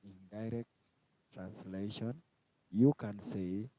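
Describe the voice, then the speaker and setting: A man from Kenya with a Kenyan accent, giving a casual language lesson and slowly repeating each word. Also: on the low side, mellow. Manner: slow, repetitive, spells out each word twice. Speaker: language teacher. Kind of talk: informal live-stream language lesson.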